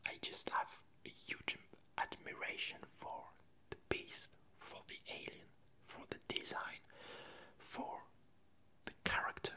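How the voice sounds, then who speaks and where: An ASMR creator chatting casually in a breathy, unvoiced whisper, in a halting, rambling way with frequent self-corrections. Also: sharp tone.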